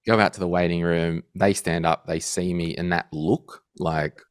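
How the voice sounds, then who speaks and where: Guy in his 30s, very low voice, lively storyteller, dry close sound. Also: moderate pace, dry recording. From Australia. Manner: animated storyteller, acts out quotes. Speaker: guest financial podcaster. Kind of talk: casual interview chat.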